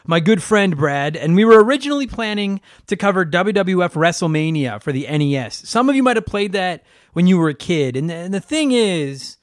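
A man's voice: sits high, sing-song, moderate pace, few pauses, no room sound, studio quality. Stuffed-up rambling podcast host man. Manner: rambling, profane, self-deprecating. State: stuffed up from allergies. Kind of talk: casual podcast chat.

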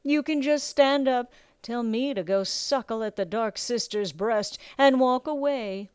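A woman's voice: a little high, takes it slowly, darkish tone, smooth, no room sound, clean studio sound, pitched above the performer's own voice. Woman acting a barking, scornful male drill instructor. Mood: quiet, taunting menace. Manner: barking, taunting, profane. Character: bellowing small thirty-year-old male drill instructor, scornful and commanding. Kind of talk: audiobook character acting.

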